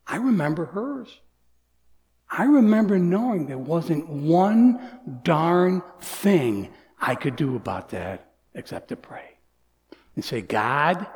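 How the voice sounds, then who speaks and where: A man in his sixties preaching a sermon as pastor, earnest, dropping to soft near-whispers and rising to emphatic lines. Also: a little high. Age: 60s. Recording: long hall reverb.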